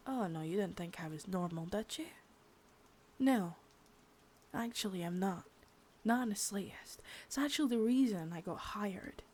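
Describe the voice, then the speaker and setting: A woman voicing a sadistic, menacing female boss in an ASMR roleplay, whispering softly with spoken threats between. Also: mid-range voice, animated, moderate pace, lightly acted. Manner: taunting and threatening.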